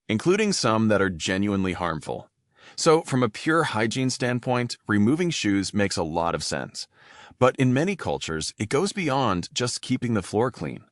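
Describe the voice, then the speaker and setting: A man with a low voice narrates an educational podcast, his pitch rising and falling in an animated way. He pauses to explain new words simply. The sound is dry and close. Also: no room sound. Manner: explains and defines vocabulary words plainly. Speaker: podcast host.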